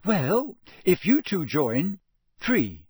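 A man voicing a bossy, earnest teenage girl campaigner, brisk and insistent, in a storybook reading. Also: high-pitched, big pitch swings, darkish tone, breathy edge, no echo, studio quality, pitched above the performer's own voice, full character voice. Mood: brisk, impatient.

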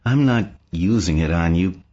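A man's voice: low voice, animated, moderate pace, mellow, husky, dull audio, voice pushed down. A man voicing an angry, taunting gunman in an audiobook, threatening and grimly gloating. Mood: menacing, smiling.

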